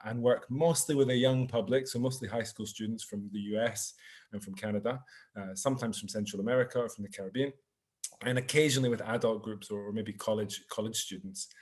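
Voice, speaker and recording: A Scottish man in his thirties, a tour guide giving a relaxed, chatty virtual tour over a video call. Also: on the low side, brisk. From Scotland. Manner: relaxed and chatty, sprinkling in French words. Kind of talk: live virtual tour presentation. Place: home in Marseille.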